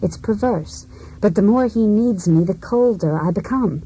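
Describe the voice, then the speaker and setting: Radio play: a wife done with her marriage; mellow, slightly rasping, introspective and deliberate. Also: mid-range voice, poor audio. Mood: cold, contemptuous. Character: cold, disillusioned wife determined to break free. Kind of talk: radio drama monologue.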